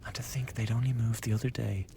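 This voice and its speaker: An actor playing a glib, scheming young revolutionary in a radio drama, chatty and insinuating with a blackly flippant manner. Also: male voice, mid-range voice, steady tone, fast talker, vocal fry, mellow, a little hiss or hum, lightly acted. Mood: mock-sorrowful, sly. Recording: studio radio drama with background ambience.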